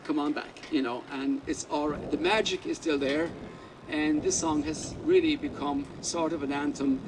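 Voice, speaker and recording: A man's voice: on the high side, steady tone, moderate pace, distant mic, background noise. An Irish man whose first language is Irish Gaelic, a musician telling rambling anecdotes at a press conference. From Ireland.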